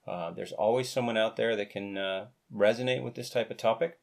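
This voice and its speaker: A low-voiced American man hosts a podcast over a video call. He speaks earnestly and in a measured way, and the sound is close and dry. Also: fairly even intonation, creaky, dry recording. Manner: earnest, measured, speaks slowly when reading out numbers. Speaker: podcast host. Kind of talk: podcast interview chat.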